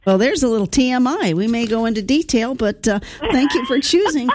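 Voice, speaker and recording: A woman's voice: on the high side, animated, brisk, a little mellow. A woman hosting a radio interview, folksy and enthusiastic, a bit rambling. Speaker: radio host.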